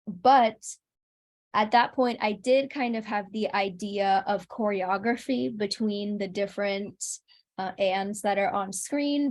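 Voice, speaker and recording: A young adult woman answering as a guest in a casual, conversational interview. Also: medium pitch.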